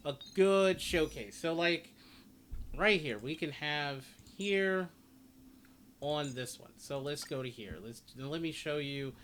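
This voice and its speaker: A man's voice: fairly high pitch, lively intonation, slow, fairly bright tone, a little hiss or hum. A man hosting a gaming video, giving casual gameplay commentary in an informal, rambling way and correcting himself as he goes. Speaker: gaming channel host.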